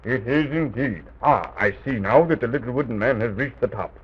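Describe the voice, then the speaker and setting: A man playing a courteous, patient castle guide in armor for an old children's radio serial, speaking formally and with measured explanation. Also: mid-range voice, expressive, husky. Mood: explaining. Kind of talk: 1920s-30s children's radio serial acting. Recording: tinny old radio recording.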